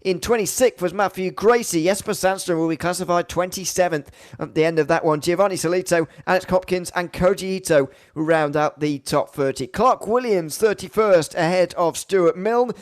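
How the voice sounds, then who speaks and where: A man with a slightly high voice works as a live sim racing commentator and host. The sound is dry and close, and his delivery is a little stop-start. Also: moderate pace, few pauses, dry recording.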